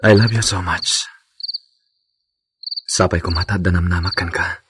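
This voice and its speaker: Romantic radio lead: patient thirties Ilocano bachelor, lowish voice, heavy background noise. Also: male voice, steady tone, a little slow. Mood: tender, patient. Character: earnest, patient lovestruck bachelor in his thirties. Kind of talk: Ilocano radio drama acting.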